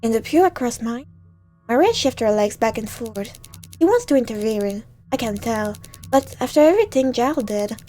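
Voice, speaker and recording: A woman's voice: on the high side, lively intonation, moderate pace, warm tone, faint music behind. A woman reading visual novel narration aloud in a dramatic storytelling way, now and then stumbling over words.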